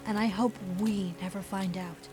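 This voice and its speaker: A woman's voice: mid-range voice, fairly even intonation, normal speed, lightly acted, low-quality recording. An actress playing a frightened but determined young woman, tense and breathless, with music and rain behind. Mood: shaken. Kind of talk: radio play acting.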